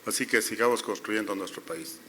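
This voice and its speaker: A forceful, bright-voiced man speaks on a business panel, pressing his points with emphatic, critical remarks and frequent restarts. His voice rings through a large conference hall with a long reverb. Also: on the low side, a little fast.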